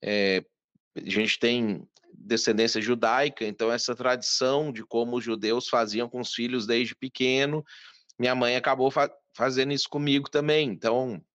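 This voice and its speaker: A man in his thirties from Minas Gerais, a pastor and guest, telling his story in a measured, earnest way with frequent restarts. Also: medium pitch, steady tone, a bit bright, no room sound, lo-fi sound. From Brazil, Minas Gerais. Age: 30s.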